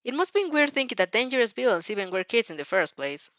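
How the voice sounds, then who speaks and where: A woman's voice: on the high side, sing-song, quick pace, a bit bright, dry recording, pitched above the performer's own voice. A woman reads as an earnest teenage boy student in a fan fiction story. He sounds doubtful and concerned for his friend. The sound is dry, slightly muffled. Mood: flabbergasted. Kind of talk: amateur fan fiction character reading.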